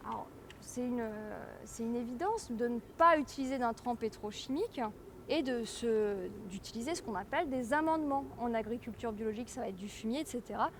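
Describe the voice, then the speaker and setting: A woman giving an informal public lecture, explaining things with hesitant pauses and repeated words. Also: fairly high pitch, a little hiss or hum. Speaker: guest lecturer, medieval historian.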